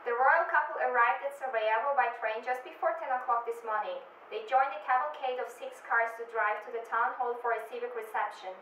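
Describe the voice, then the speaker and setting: Highish young woman reporting news, echoey room. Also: brisk, few pauses, a bit bright. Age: young adult. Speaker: news correspondent. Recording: roomy, distant mic, faint noise.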